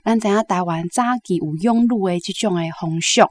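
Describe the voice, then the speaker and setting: Guest commentator, woman, Taiwanese accent, somewhat low pitch, explains in a conversational way. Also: a little fast, no echo.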